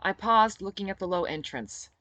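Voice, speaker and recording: A woman reading her own novel aloud as expressive first-person audiobook narration. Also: medium pitch, normal speed, no echo.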